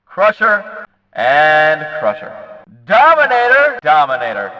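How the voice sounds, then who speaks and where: An excitable demolition derby announcer, high-pitched and forceful, for a racing video game. He draws out punchy, emphatic calls at a very slow pace. The sound rings with long arena-like hall reverb. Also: highs cut off, exaggerated voice. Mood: excited, hyped. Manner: emphatic, punchy name calls swinging from flat to shouted. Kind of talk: arena-style race announcer name calls.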